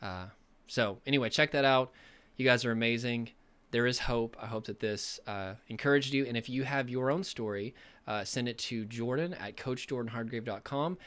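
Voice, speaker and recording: Hoarse man, young adult, recovery coach, earnest, rambling, mid-pitched. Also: normal speed, a bit bright. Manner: earnest, rambling, many fillers and restarts. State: losing his voice, a bit sick. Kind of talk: casual direct-to-camera talk.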